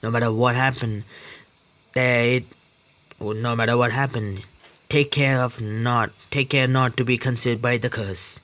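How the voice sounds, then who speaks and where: A man hosting a game playthrough, commenting casually and reading aloud in a halting way with stumbles. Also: mid-range voice, steady tone, a bit bright. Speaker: gaming video host.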